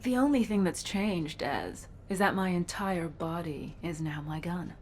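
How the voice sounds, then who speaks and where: An actress playing a determined, stubborn cyborg gunfighter in a video game, speaking tersely and earnestly. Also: female voice, on the low side, unhurried, a little hiss or hum, close to an everyday voice. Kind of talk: game cutscene dialogue.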